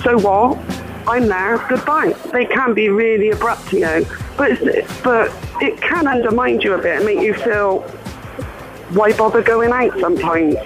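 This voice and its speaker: A man's voice: high voice, measured pace, music in the background, low-quality recording. A man calling in to a radio show, speaking hesitantly and mildly. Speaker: caller. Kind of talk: casual phone chat.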